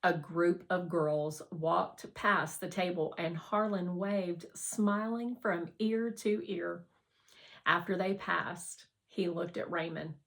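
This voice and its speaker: A woman's voice: lowish voice, steady tone, slow, creaky, rough recording. A woman reading a children's novel aloud as scripted narration. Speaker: audiobook reader.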